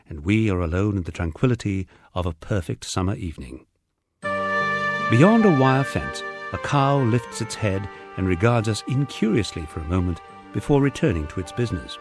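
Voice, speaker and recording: A man narrating a first-person memoir in a measured, storytelling way, as an audiobook reader. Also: fairly low pitch.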